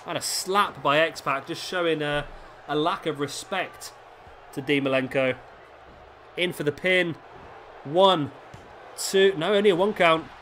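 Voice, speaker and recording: A man calling the action of a wrestling video game in a slightly raised voice that has gone a little hoarse. He chats casually between moves and gets louder and more excited on the pin counts. A steady hiss of background noise sits under the recording. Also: a little high, measured pace, pauses often. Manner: excited calls during pins, chatty asides between. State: slightly hoarse, losing his voice. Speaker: let's play commentator. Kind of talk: casual play-by-play game commentary.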